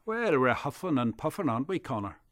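A man voicing a schoolboy bully who mocks and shouts at a weaker boy in a storytelling performance. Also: medium pitch, big pitch swings, a little fast, no room sound, clean studio sound. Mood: mocking. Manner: mocking, sing-song taunts. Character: loud taunting schoolboy bully.

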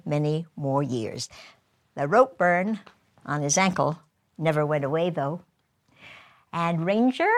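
Slow-paced female storyteller, lowish voice. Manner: measured, pausing between short phrases. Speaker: professional storyteller. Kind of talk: dramatic first-person storytelling on stage. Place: performance studio with an audience.

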